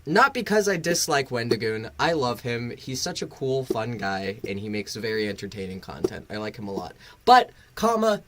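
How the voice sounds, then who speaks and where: Animated man rambling on a livestream. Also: mid-range voice, normal speed, few pauses. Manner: rambling, profane, bluntly critical. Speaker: livestream host and reviewer. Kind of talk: casual live reaction commentary.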